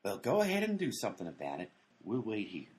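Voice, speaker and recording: A man's voice: fairly low pitch, huge pitch swings, fast. A man playing a dim-witted, unbothered sandwich maker in a radio comedy, speaking in a folksy, matter-of-fact way. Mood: obtuse, unbothered.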